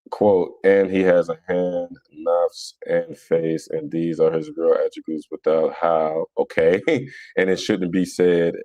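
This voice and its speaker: A man's voice: a bass voice, dark tone, dry recording. A man with a Philadelphia accent hosting a casual live religious debate, answering viewers in a blunt, colloquial, mocking way. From Philadelphia, United States. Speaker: livestream host.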